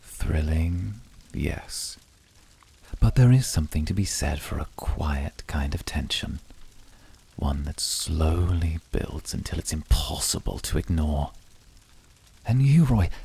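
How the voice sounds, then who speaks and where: A very breathy, gravelly demon rival played by a man, cool and controlled. He speaks in measured, formal, intimate phrases for an ASMR roleplay, quietly seductive in a dark, low register. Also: expressive, heavily acted. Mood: teasing, restrained. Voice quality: husky, breathy.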